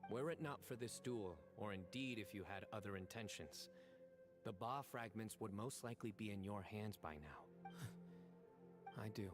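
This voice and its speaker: An actor playing a composed, reserved young general in a video game, speaking formally and with measured precision. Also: male voice, lowish voice, close to an everyday voice. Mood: calm, measured. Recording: game audio over background music.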